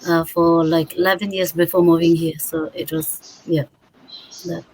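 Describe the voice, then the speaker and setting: A woman's voice: on the low side, level tone, unhurried, a little mellow, slight background noise, poor audio. A woman in her thirties from Bhutan, a guest on an online interview, answering chattily and correcting herself often. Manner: chatty, self-correcting, restarts.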